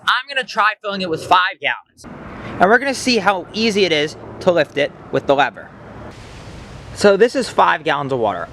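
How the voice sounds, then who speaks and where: A man's voice: a little high, pushed and bright, a little hiss or hum. A young man reading aloud and explaining simple science for children as a host.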